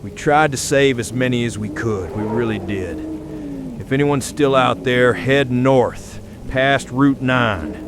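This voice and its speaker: A man playing a weary, middle-aged county sheriff, giving a grave, folksy emergency broadcast in a horror audio drama. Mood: resigned, grave. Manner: folksy, slow, resigned, grave. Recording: noisy survivor radio transmission, vehicle-like rumble.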